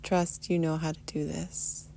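A woman leading a guided meditation in a soothing, unhurried manner, often trailing into a breathy near-whisper. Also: deep voice, little pitch movement, dark tone, a little hiss or hum. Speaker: guided meditation teacher, podcast host. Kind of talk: guided meditation, soft instruction.

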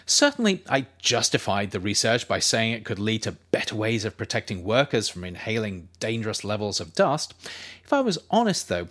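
A middle-aged man narrates scripted non-fiction in the role of author-reader. His delivery is measured and articulate, with a reflective manner and a lively, widely moving pitch. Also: slightly rough. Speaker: author reading his own book chapter as narrator.

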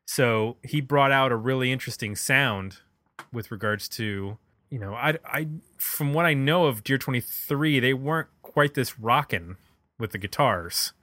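A man with a bright, forward voice at an everyday middle pitch. He talks casually like a music podcast host, working out his thoughts aloud, and the sound is close and dry. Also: measured pace, no room sound. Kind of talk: casual album discussion.

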